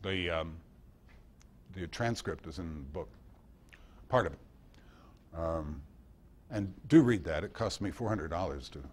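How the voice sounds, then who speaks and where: Guy with a lowish voice rambling through stories at a club meeting, chatty, wry little asides. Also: lively intonation, a little slow, pauses often, a little mellow, slight background noise. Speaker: guest speaker giving a history talk. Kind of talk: informal lecture with anecdotes.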